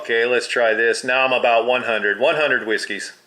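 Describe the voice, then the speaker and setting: A man's voice: medium pitch, steady tone, moderate pace, very noisy. A man who is a hobby radio operator, chatting casually with radio jargon.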